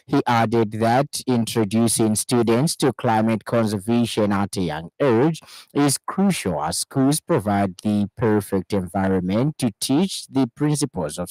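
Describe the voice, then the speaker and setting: Low-voiced Zambian man reading news. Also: a little mellow, no room sound, rough recording. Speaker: radio news anchor. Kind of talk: scripted news bulletin reading. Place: radio studio in Lusaka.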